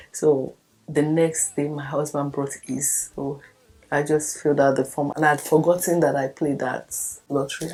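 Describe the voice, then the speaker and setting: A Nigerian woman in her fifties, whose first language is Yoruba, tells her own life story in a low, mellow voice. She slips into the people she remembers and acts out what they said to her. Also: breathy edge, low-quality recording. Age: 50s. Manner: storytelling, acting out quoted conversations. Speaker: documentary subject. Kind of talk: personal life story told to camera.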